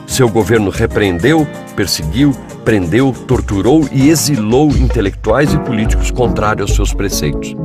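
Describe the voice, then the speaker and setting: Brazilian man narrating over prominent music. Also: mid-range voice. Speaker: documentary narrator. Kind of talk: scripted educational history narration.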